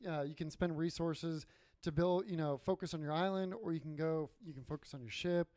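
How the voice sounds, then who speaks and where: A man's voice: a little high, steady tone, a little fast, a little mellow, dry recording, studio quality. A man co-hosting a casual gaming podcast, explaining things informally with some swearing. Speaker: podcast co-host.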